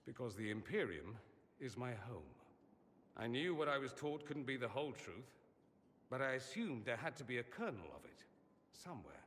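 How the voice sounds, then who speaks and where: A witty, self-admiring mage for a fantasy video game. His speech is arch and sardonic, with lively swings of pitch. The voice rings in a long, reverberant hall. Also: normal speed, a little hiss or hum. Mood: bitter. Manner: theatrical, arch, sardonic delivery. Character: witty, vain mage from a fantasy empire, wryly self-aware. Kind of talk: dramatic video game dialogue.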